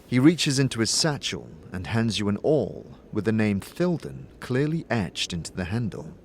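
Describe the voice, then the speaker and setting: A man's voice: lowish voice, sing-song, normal speed. A man giving dramatised narration and acted dialogue for a fantasy game story. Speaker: game narrator and player character voice. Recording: clearly noisy background.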